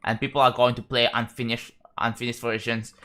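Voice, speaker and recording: A young man from Indonesia with an Indonesian accent, chatting casually on a live stream, rambling and halting with frequent restarts. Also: medium pitch, little pitch movement, pushed and bright. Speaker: livestreamer.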